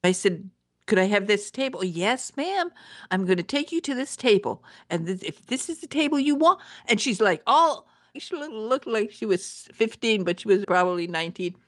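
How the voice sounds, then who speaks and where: Female interviewer, medium pitch, dry close sound, conversational, halting and emphatic. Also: very animated, no echo.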